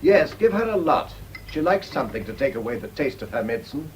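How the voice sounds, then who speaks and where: An actor as an urbane, cynical English gentleman, lively intonation. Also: medium pitch, a little fast, a little hiss or hum, natural voice, rough recording. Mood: brisk. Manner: drawling, epigrammatic, sardonic. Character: wealthy cynical English husband, urbane, witty, self-satisfied. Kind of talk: radio drama acting.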